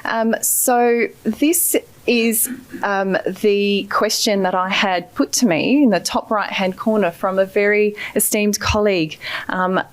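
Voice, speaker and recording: A woman's voice: medium pitch, fairly even intonation, slow pace. A middle-aged Australian woman giving an award acceptance speech, earnest and grateful, with many hesitant fillers. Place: ceremony hall.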